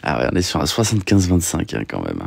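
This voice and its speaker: A young man chatting casually on a livestream, reading out questions and answering in a halting way, repeating himself with lots of fillers. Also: low voice, huge pitch swings, creaky, dry recording. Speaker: streamer reading and answering chat.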